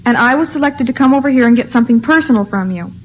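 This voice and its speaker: An actress playing an eager, polite high school girl in old-time radio comedy. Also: expressive, racing, close to an everyday voice. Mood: earnest, eager. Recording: muffled, noisy old radio recording.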